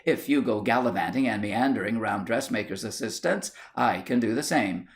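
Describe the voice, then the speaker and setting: A man's voice: lowish voice, expressive, moderate pace. A man voicing an indignant, jealous and melodramatic young Victorian wife in a storybook, haughty and exclamatory. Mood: haughty indignation.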